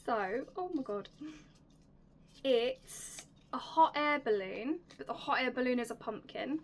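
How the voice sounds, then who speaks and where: A young woman hosting a casual unboxing vlog, chatty and enthusiastic, her voice slightly raspy and going hoarse. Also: on the high side, lively intonation, a little slow, a little hiss or hum. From United Kingdom. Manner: chatty, enthusiastic, drops to soft whispers at times. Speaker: YouTube host.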